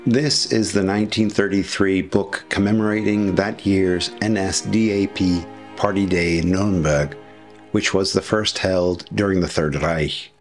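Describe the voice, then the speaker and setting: Low-pitched man narrating history over music. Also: steady tone, unhurried. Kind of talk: scripted documentary narration with commentary.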